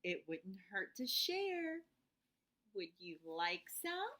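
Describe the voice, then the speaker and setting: A woman's voice: mid-range voice, sing-song, slow pace, sharp tone, exaggerated voice. A woman voicing a kindly, hearty female cook in a storybook read-aloud. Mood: hearty, welcoming.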